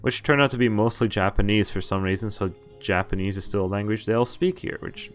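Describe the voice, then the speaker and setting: A man's voice: lowish voice, brisk, faint music behind. A man streaming a visual novel, reading its text aloud and adding casual, wry asides, often stumbling over names and correcting himself.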